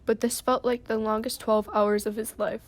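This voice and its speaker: A woman's voice: a little high, little pitch movement, normal speed. A young woman reading scripted story narration for an amateur radio drama. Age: young adult. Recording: noisy hall with long reverb.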